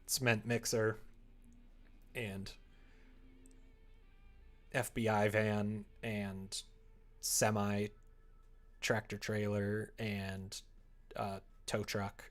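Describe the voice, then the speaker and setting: A man's voice: lowish voice, little pitch movement, extremely slow, pauses often. Man musing casually on a livestream. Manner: musing aloud, joking, reads chat and drafts aloud. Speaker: streamer writing a visual novel. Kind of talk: casual livestream chat while writing. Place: indoors at a computer desk.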